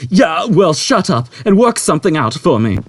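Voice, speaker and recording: A brash, impatient space rogue played by a man for a sci-fi audiobook. He talks in slangy exclamations, drawling out words, raised in pitch and swooping, with a slightly rough edge. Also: big pitch swings, moderate pace, dry recording. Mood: exasperated. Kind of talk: audiobook character voice.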